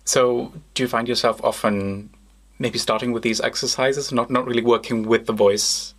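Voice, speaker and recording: A man's voice: mid-range voice, fairly even intonation, moderate pace. A man hosting a live podcast interview, asking long, rambling questions full of fillers. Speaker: podcast host.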